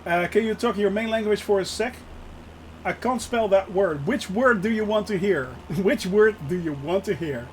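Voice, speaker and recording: Dutch-accented man, high voice, chatty streamer, laughs a lot, faint background noise. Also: moderate pace, low-quality recording. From Netherlands. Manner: chatty, laughs often, replies to chat. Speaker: flight sim streamer. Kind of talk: casual live-stream commentary.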